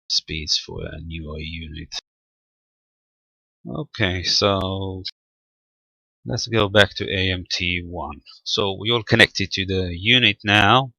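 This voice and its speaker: A man with a low, bright-edged voice talks through a technical demonstration. He explains methodically and haltingly, feeling his way through each step without a script. The sound is close and dry. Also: vocal fry, breathy edge, no echo, muffled recording. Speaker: amateur radio hobbyist presenting equipment. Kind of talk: unscripted screen-recorded technical walkthrough.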